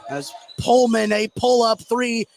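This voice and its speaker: High-voiced male basketball play-by-play announcer. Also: level tone, measured pace, creaky. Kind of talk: live basketball play-by-play commentary. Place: indoor basketball gym, courtside. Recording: noisy gym ambience, bouncing basketball. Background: game noise, ball bouncing.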